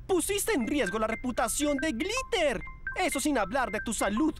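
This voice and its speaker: A woman voicing a stern, sharp-tongued modeling agency boss in a cartoon, emphatic and sarcastic. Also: sits high, big pitch swings, normal speed. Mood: stern scolding. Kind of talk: animated cartoon dub. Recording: music behind the voice.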